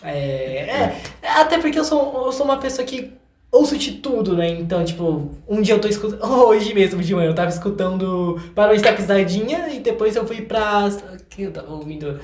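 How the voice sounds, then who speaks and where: A man's voice: high-pitched, animated, moderate pace, a little mellow. A young Brazilian man chatting casually and effusively as an interview guest, often repeating words for emphasis. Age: young adult. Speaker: singer-songwriter guest. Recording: echoey room.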